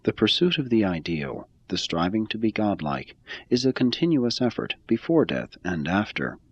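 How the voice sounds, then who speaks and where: Deep husky man reading a religious text. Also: sing-song, normal speed, no echo, lo-fi sound. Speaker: reader.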